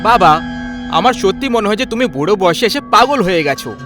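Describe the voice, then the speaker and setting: An impatient young father voiced by a man protests in a raised, high voice. He sounds sceptical and exasperated, in horror cartoon style, with music behind him. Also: expressive, a little fast. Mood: exasperated, disbelieving. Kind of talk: dramatic horror cartoon voice acting.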